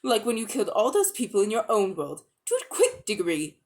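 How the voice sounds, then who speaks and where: A woman voicing a bold, spiteful young girl in a storybook, exclaiming in a sharp, impatient manner. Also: mid-range voice, huge pitch swings, fairly quick.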